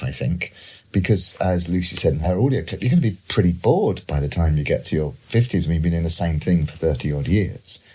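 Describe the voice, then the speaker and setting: A middle-aged British man with a very deep voice takes part in a panel discussion. He explains his thinking reflectively, setting out lists and examples as an expert guest would. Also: breathy edge. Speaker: economist and author, panel guest.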